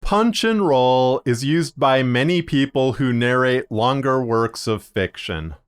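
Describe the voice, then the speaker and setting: Clear-voiced man narrating with measured phrasing. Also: mid-range voice, expressive. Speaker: podcaster and fiction narrator presenting a video series. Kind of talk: scripted video narration.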